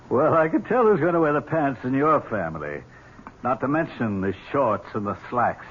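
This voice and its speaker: Wry, animated actor's uncle on tinny old radio. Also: male voice, medium pitch, moderate pace, a little hiss or hum, close to an everyday voice. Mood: teasing. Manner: wry, hesitant, mock-innocent. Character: wry, unflappable uncle, teasing and slyly mild. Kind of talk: 1970s radio drama acting.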